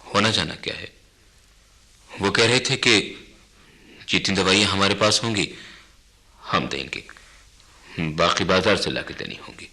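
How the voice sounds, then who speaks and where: An actor playing a dutiful, resigned young husband and son in a radio drama. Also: male voice, sits low, measured pace, long pauses, pushed and bright, exaggerated voice.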